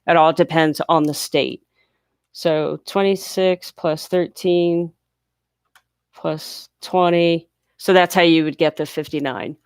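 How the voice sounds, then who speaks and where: A chatty woman runs a live question-and-answer stream, her voice on the lower side and congested. She speaks with a smooth, clean tone, close and dry with no room sound. She explains things patiently, step by step. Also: fairly even intonation, slow, no echo. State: congested from sinuses. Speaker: livestream host answering viewer questions. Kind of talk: casual live Q&A. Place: home near a large US city.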